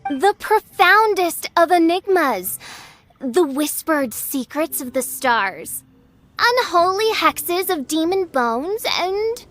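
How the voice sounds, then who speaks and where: A woman's voice: very high voice, expressive, slow, fairly bright tone, soft background music, exaggerated voice. A woman voicing a haughty, theatrical young princess in a video game, pompous, with archaic dramatic phrasing. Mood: mysterious. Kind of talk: video game cutscene acting.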